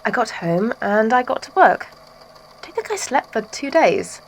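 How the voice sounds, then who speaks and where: A woman's voice: fairly high pitch, sing-song, unhurried, slight background noise, poor audio. A woman playing a former schoolteacher turned obsessive artist, giving a chatty, matter-of-fact confession in an audio drama. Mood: calmly fascinated. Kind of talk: audio drama statement monologue.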